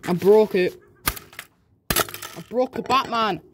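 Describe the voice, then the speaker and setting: A slow, rambling voice in a middling feminine pitch gives casual, crude commentary. The delivery is jokey and sweary, muttered into a handheld phone outdoors, with clear noise on the recording. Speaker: amateur video maker. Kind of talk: casual rambling commentary while smashing a toy. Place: outdoors on pavement beside a brick wall. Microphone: handheld phone close to the speaker.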